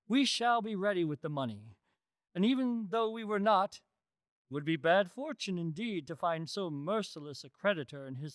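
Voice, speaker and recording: Casting: male actor as earnest young-hearted husband in debt, radio play, lightish high voice, dry recording. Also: no room sound. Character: earnest, relieved indebted husband. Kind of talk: radio drama acting.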